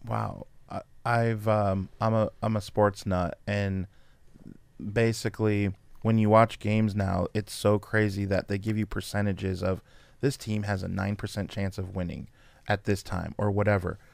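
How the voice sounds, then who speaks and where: A man's voice: fairly low pitch, flat delivery, measured pace, creaky, no echo. A man hosting a podcast, chatting casually and laughing easily with his guests. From California family roots. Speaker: podcast host. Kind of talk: casual interview chat.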